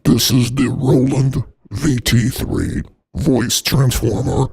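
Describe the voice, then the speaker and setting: A man with a gravelly, husky, effected voice narrating a product unboxing in a casual, sweary, irreverent way. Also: mid-range voice, fairly even intonation, slow, darkish tone. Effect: voice changer processing.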